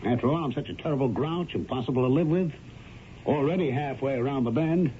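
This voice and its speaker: An actor playing a tense, weary married commuter absorbed in meditation, in 1970s radio drama style with a brooding, emphatic delivery. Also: male voice, medium pitch, fairly quick, slight background noise, close to an everyday voice. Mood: irritable, defensive. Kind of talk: 1970s radio drama acting with first-person narration. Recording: dull vintage radio recording with loud music.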